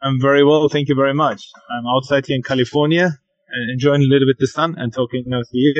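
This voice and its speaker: A man answering interview questions from outdoors over a remote call, measured and a little repetitive. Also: medium pitch, fairly even intonation, muffled recording. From Germany. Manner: measured, repeats himself, light fillers. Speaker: podcast guest, football agent.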